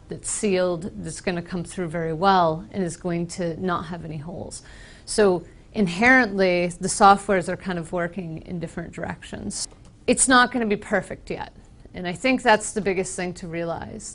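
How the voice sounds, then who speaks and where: American woman from Boston, slightly low voice, casual trainer explaining software with ums. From Boston, United States. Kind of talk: conference presentation.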